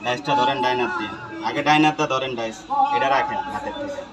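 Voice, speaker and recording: A man's voice: mid-range voice, a little fast, over a noisy crowd. A man with a colloquial Bangladeshi accent coaching a student hands-on as a repair trainer, in short, repeated commands. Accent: Bangladeshi colloquial Bengali.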